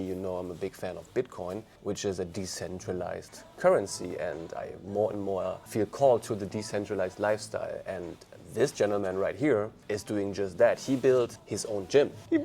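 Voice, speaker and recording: A man's voice: fairly low pitch, vocal fry, mellow. A man hosting a casual podcast interview, talking in a friendly way with frequent fillers. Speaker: podcast host. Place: Leipzig, Germany.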